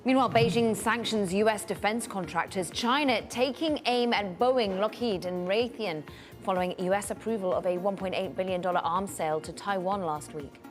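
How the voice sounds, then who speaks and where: Polished woman anchoring market news. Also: mid-range voice. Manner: brisk, polished delivery.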